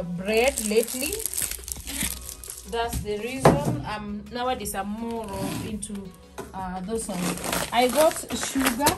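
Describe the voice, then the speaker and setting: Chatty woman unpacking groceries at home. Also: on the high side. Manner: chatty, relaxed, restarts and fillers, soft laughs. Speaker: vlogger. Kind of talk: casual shop-with-me haul chat. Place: small home kitchen, indoors. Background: background music.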